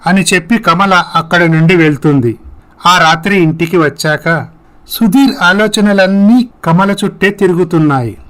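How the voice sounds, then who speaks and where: Casting: male narrator for scripted moral stories, voice a bit higher than average. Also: moderate pace, fairly bright tone.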